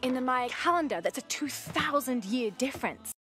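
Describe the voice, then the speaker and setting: A woman's voice: high voice, expressive, moderate pace, pushed and bright. An actress playing a determined, scholarly young woman archaeologist in a naturalistic game cutscene, intent and thoughtful. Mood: absorbed, explaining. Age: young adult. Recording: music behind the voice.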